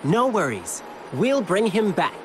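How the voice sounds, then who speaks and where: Earnest, high-voiced young game hero. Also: male voice, very animated, measured pace, noisy background. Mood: gently reassuring. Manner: earnest, polite, reassuring. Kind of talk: scripted video game voice acting. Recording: game music under the voice. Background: background music.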